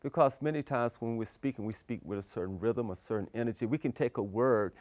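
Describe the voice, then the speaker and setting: A man's voice: mid-range voice, fast talker, no room sound, studio quality. A man co-hosting a community talk show who often affirms his partner and calls him "brother". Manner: affirming, frequent "mm-hmm" and "that's right", warm address as "brother". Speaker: co-host. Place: local TV studio.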